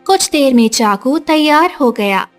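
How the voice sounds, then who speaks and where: A woman's voice: high voice, animated, unhurried. A woman reading a scripted story as narrator, with music behind her. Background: background music.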